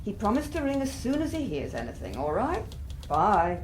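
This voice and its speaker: An actress playing an efficient, sardonic office secretary in a British political radio drama, with an English accent. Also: female voice, medium pitch, wildly swooping pitch, normal speed, very noisy. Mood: brisk. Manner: efficient on calls, sardonic in asides. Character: brisk, wry female secretary in whip's office.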